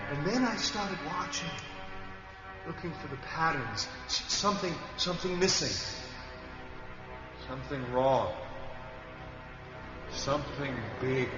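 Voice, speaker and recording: A man playing a suspicious, brooding astronaut doctor in a radio play, speaking in halting, broken phrases. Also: a little high, dull audio. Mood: uneasy, brooding.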